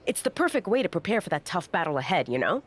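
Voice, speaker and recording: A woman playing a brisk wrestling manager in a video game, speaking in a matter-of-fact, persuasive way. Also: fairly low pitch, big pitch swings, slight background noise. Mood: businesslike, encouraging. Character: brisk female wrestling manager setting up matches.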